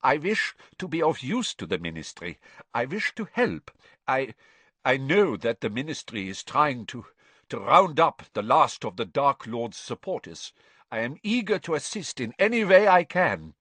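A man voicing a frightened, fawning male prisoner who pleads in a high, hurried, breathless and unctuous voice. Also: sing-song, a bit bright, husky, dry recording. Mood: pleading.

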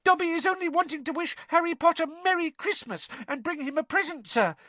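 Tiny squeaky nervous elf, way up high, odd strained tone, refers to himself by name. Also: male voice, brisk, sharp tone, no echo. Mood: anxious. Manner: speaks of himself in third person. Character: squeaky anxious male house-elf.

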